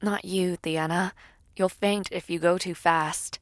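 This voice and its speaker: A woman's voice: lowish voice, steady tone, measured pace, no echo, studio quality. A woman playing a protective, bossy but caring princess in an audio roleplay drama. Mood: brisk, caring.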